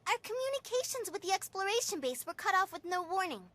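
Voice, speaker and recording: Squeaky, brash, bubbly girl operator. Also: very animated, quick pace, sharp tone, full character voice. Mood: briskly official. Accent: American. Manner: perky, cheeky, theatrical. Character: brash, bubbly, teasing young female mission operator. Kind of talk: exaggerated anime-style game voice acting.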